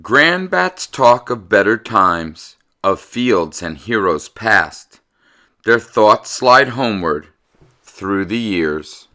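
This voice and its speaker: Male children's storyteller, low voice, very lively intonation, dramatic and expressive. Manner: animated, dramatic delivery. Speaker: read-aloud storyteller. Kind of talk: expressive children's storybook read-aloud with casual commentary.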